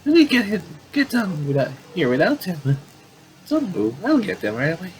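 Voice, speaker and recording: A man's voice: high-pitched, huge pitch swings, moderate pace, slight background noise, voice pushed up. A man voicing a fussy, caring young sister from a visual novel, with exclamatory delivery. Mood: worried.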